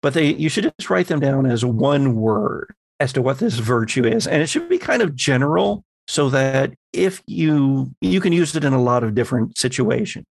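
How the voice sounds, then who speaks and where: A man acting as game master, explaining tabletop game rules in a measured, conversational, lightly playful way. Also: medium pitch, sing-song, gravelly, no room sound.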